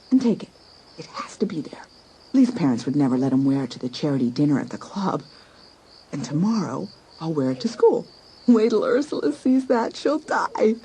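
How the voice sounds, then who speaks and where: A woman's voice: sits low, mellow, slight background noise, poor audio. A woman playing a scheming, competitive, jealous teenage girl in a dramatised audiobook, talking to herself in theatrical exclamations. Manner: theatrical, exclamatory self-talk. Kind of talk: dramatised audiobook acting, thinking aloud.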